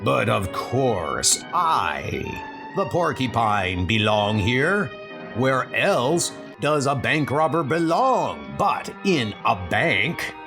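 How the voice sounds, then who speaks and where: A man's voice: medium pitch, sing-song, very slow, over music, exaggerated voice. A man voicing a pompous, gloating master criminal in a comic book dub. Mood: menacing, triumphant. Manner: pompous, boastful. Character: gloating, embittered male master criminal in porcupine suit.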